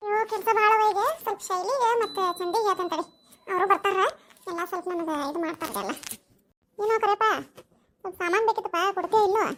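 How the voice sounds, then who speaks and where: A woman's voice: very high voice, fast, fairly bright tone. A woman voicing a bossy, chatty village mother in an amateur cartoon, nagging and homely, with a North Karnataka accent. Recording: faintly noisy home recording.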